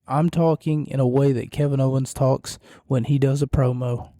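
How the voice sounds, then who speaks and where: A man hosting a solo podcast, rambling and self-deprecating, his voice going hoarse and quiet. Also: mid-range voice, steady tone, normal speed, no echo, clean studio sound. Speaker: podcast host. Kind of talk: casual solo podcast recap.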